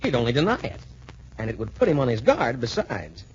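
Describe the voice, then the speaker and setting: A confident, easygoing young cadet hero in a 1940s radio adventure. His mid-pitched voice is breezy and very animated, on a narrow, noisy vintage broadcast recording. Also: fairly quick. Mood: calm, reassuring.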